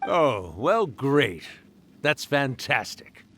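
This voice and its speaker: A man's voice: medium pitch, sing-song, very slow, fairly bright tone, a little hiss or hum, exaggerated voice. A man voicing an ancient, genial yokai storyteller in an anime-style game, theatrical, teasing and musing. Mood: pleased.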